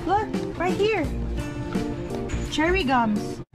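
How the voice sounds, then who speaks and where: A woman's voice: very high voice, sing-song, very slow. A woman chatting casually with her young child, as a mother filming a family vlog inside a store. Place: inside a bulk candy store. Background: music.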